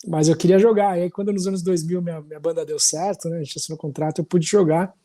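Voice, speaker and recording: A man in his forties with a Paulistano accent talks in a loose, meandering way, often tagging his sentences with little questions. His voice sits a bit high for a man but sounds warm and mellow. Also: fast. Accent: Paulistano Brazilian Portuguese. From São Paulo, Brazil. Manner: relaxed, rambling, with frequent tag questions. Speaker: podcast guest, musician. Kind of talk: casual storytelling chat.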